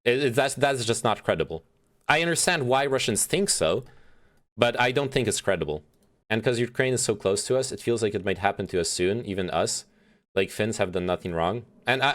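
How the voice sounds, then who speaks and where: A young man streaming, chatting about politics with many fillers and restarts, swearing freely as he reads and answers chat. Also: on the low side. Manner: reads chat messages aloud and reacts.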